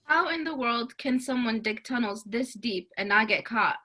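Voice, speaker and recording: A woman playing a curious high school girl in an amateur script table read. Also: expressive, normal speed, pushed and bright.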